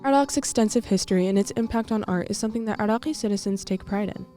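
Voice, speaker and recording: A woman's voice: medium pitch, quick pace, dark tone. A young woman, reading a scripted news feature as a student reporter. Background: faint music.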